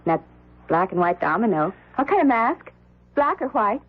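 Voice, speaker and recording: An actress playing a pampered, petulant wife who nags and pleads theatrically in a radio drama. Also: female voice, mid-range voice, big pitch swings, normal speed. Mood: teasing. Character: pampered, petulant rich daughter and wife, craving fun. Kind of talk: 1970s radio drama acting. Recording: muffled lo-fi old radio broadcast.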